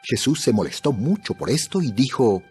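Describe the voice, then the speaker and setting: Male scripture narrator over soft music. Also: mid-range voice, big pitch swings, moderate pace, slightly rough. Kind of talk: scripted narration of a dramatized audio Bible. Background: background music.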